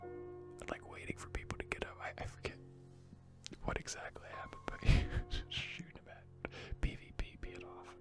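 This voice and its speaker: A person giving rambling, hesitant gaming commentary in a breathy, unvoiced ASMR whisper close to the microphone. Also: moderate pace, over loud music. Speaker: gaming video host.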